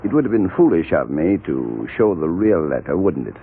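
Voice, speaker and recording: Casting: weaselly, affected blackmailer, swooping husky voice, old muffled radio recording. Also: male voice, sits low, big pitch swings, normal speed, full character voice. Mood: smug, jaunty. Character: cowardly, slippery male blackmailer with affected cheerful manners. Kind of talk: 1940s radio drama acting.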